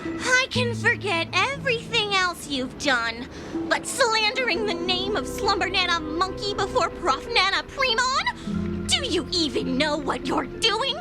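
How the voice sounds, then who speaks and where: A woman's voice: very high voice, very animated, measured pace, over loud music, full character voice. An actress voicing an eccentric young female ninja who makes showy, chanted proclamations, in video game dialogue. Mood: indignant.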